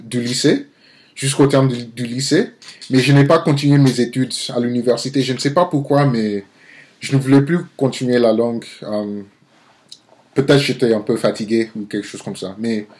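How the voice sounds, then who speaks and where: A young man in his twenties with an American accent and English as his first language speaks in a medium-pitched voice. He talks casually to the camera like an amateur vlogger, often searching for words and restarting his phrases. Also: a little mellow. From Nigeria-born, grew up in the United States. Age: 20s. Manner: halting, searching for words, self-correcting. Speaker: amateur video blogger.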